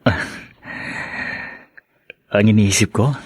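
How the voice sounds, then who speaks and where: An actor playing a devoted, humble man in love in a radio drama, speaking haltingly and earnestly. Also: lowish voice, husky, no room sound. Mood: tender, awed.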